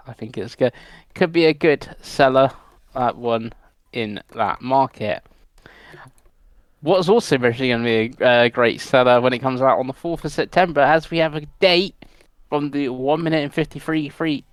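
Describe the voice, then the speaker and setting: A man's voice: medium pitch, moderate pace, no echo. A man co-hosting a casual gaming podcast, reading out gaming news in a chatty, rambling way. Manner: chatty, rambling delivery with laughs.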